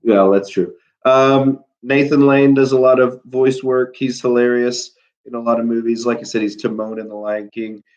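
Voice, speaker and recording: Brief: male teacher, mid-pitched, stuffy nose, rambling live-stream chat from home, dull lo-fi sound. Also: fairly even intonation, normal speed, a little mellow. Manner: chatty, rambling, full of fillers. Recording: dull lo-fi recording.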